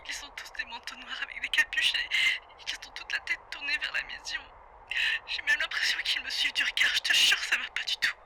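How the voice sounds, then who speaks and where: Woman acting a high, bright, anxious wife. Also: brisk, full character voice, low-quality recording. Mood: frightened. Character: anxious, frightened wife of a forest ranger. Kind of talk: naturalistic French audio drama acting. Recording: background noise under the voice.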